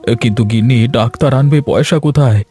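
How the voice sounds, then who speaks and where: A man's voice: mid-range voice, fast, mellow, natural voice. A man voicing a weary, grumbling villager burdened by troubles in a cartoon. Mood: worried. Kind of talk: cartoon voice acting.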